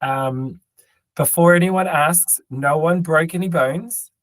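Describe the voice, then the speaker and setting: A man co-hosting a podcast, speaking in a hoarse, raspy voice he has worn out, rambling and joking with plenty of ums and restarts. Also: on the high side, slow pace, dry recording. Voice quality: tired, raspy. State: hoarse, lost voice. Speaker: podcast co-host. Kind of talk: casual chat.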